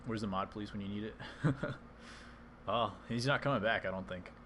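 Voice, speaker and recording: A man with a fairly low voice talks loosely over his own baseball video game, reacting to plays and answering viewers in chat. The stream audio carries a clear layer of background noise under his voice. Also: fairly quick, a bit bright. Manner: chatty, reads and answers chat while playing. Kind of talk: casual live-stream commentary.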